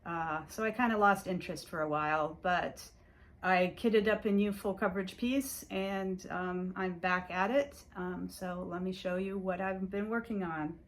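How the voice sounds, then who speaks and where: A woman's voice: fairly low pitch, moderate pace. A woman whose first language is Hungarian, casually showing her stitching projects in a rambling chat full of fillers and restarts. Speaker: cross-stitch vlogger. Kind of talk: casual show-and-tell chat.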